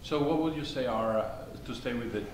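A middle-aged Italian man with an Italian accent, talking thoughtfully on a theater stage in a halting way with many restarts. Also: mid-range voice. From Italy. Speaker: panelist, conflict negotiator. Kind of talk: onstage discussion. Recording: echoey stage with faint noise.

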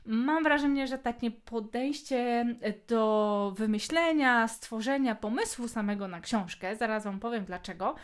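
A woman's voice: on the high side, measured pace, few pauses, clear, resonant tone. A Polish woman hosting a book podcast, talking casually with many hesitation fillers. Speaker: podcast host.

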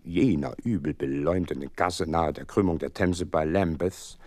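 An actor playing an earnest gentleman doctor who recounts a case in florid, wry storytelling in an audio drama. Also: rumbling low, moderate pace, no room sound, clean studio sound. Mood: florid narrating. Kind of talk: dramatised first-person narration, German audio drama.

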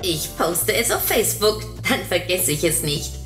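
A woman's voice: on the low side, animated, moderate pace, fairly bright tone. A woman voicing a scatterbrained, cheeky stoned newlywed in an audio short story, teasing and grumbling. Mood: triumphant. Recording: roomy echo, faint music.

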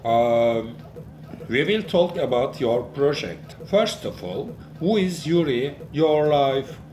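A man hosting an interview, asking short, plain questions in careful, halting English. He speaks slowly, and his pitch rises and falls widely. Clear outdoor noise from the seaside surrounds him. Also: expressive, a little mellow. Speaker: host, interviewer.

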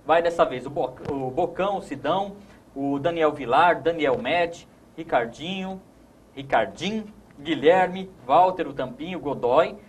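A man's voice: on the high side, animated, unhurried, slight background noise, rough recording. A man in his thirties with a Brazilian accent, hosting a conversational sports talk show in a studio. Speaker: host.

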